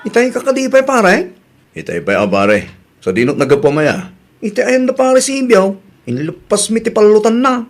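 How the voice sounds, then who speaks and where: Comic radio drama buddy, played by a man: Ilocano, playful cockfight fan, very animated pitch, exaggerated. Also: moderate pace. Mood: excited.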